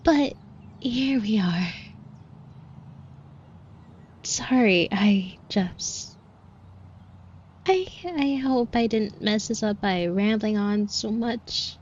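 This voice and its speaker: A woman playing a shy, flustered florist with a crush in an audio roleplay, speaking softly and breathily in a hesitant, apologetic way. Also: mid-range voice, slow, muffled recording, a little hiss or hum, close to an everyday voice. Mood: tender, bashful. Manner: hesitant, stammering, rambling, apologetic. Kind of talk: intimate roleplay audio acting.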